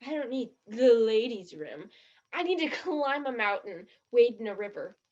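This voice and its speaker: High-voiced, fed-up young tourist. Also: female voice, sing-song, moderate pace, darkish tone, muffled recording. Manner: complaining, exasperated. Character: disgruntled, sarcastic young female tourist sick of touring. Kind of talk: stage play acting.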